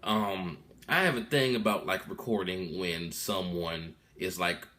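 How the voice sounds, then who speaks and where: A man's voice: low-pitched, unhurried. Subdued rambling young man vlogging. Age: 20s. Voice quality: loud voice. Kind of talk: casual update vlog. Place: room at his mom's house.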